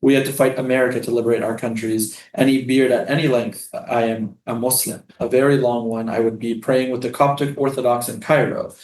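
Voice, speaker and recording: A man's voice: medium pitch, little pitch movement, a little fast, hardly any pauses, a little mellow, natural voice. Echoey weary male prisoner read by an actor. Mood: reflective. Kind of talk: staged play reading. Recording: roomy, echoing stage sound.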